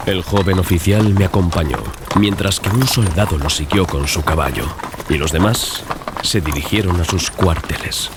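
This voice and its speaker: Very deep male audiobook narrator. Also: normal speed, heavy background noise. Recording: music behind the voice. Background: clear background music.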